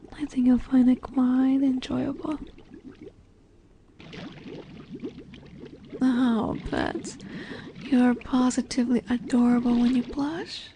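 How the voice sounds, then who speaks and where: A woman voicing a nurturing, gently commanding lamia in an intimate fantasy roleplay audio, soft and affectionate. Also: sits high, slight background noise. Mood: inviting, gently teasing. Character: dominant, nurturing female lamia, a serpent monster girl. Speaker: voice actress.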